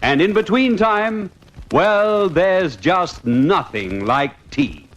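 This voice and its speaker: A man reading an old-time radio commercial in a measured, persuasive way. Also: sits high, lively intonation, fairly bright tone. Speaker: advertisement announcer.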